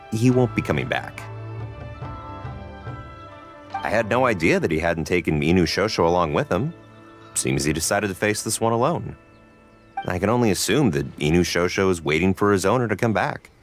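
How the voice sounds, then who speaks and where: An actor playing a polite, discreet ninja in a video game, with a measured, thoughtful manner. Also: male voice, deep voice. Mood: regretful. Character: polite, discreet male member of a ninja organization. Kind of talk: video game character dialogue. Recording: music behind the voice.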